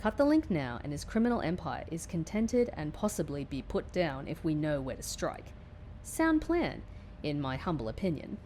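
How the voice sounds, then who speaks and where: A woman plays a composed female agent in an audio drama, with a low voice and a wry delivery. The sound is roomy and echoey, with a faint rumble of noise. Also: very animated, normal speed, warm tone. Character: dry, composed female field agent narrating her story. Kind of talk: audio drama narration and dialogue.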